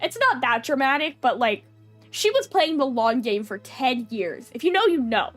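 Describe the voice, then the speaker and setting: Young woman, high-pitched, nasal, stuffy nose, chatty and giggly, streamer talk, faint music behind. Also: big pitch swings, moderate pace, fairly bright tone. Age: young adult. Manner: chatty, lots of fillers and giggles. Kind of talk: casual chat with viewers.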